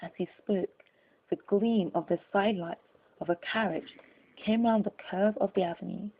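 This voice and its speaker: Husky woman narrator, phone-like sound. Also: medium pitch. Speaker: audiobook narrator. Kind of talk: scripted literary narration. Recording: narrow, phone-like lo-fi audio.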